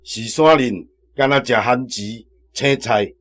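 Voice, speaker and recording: Radio drama actor as hearty male eatery owner, Taiwanese accent, medium pitch, folksy. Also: unhurried, fairly bright tone, close to an everyday voice. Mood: proud, cheerful. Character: hearty restaurant owner showing off his ingredient.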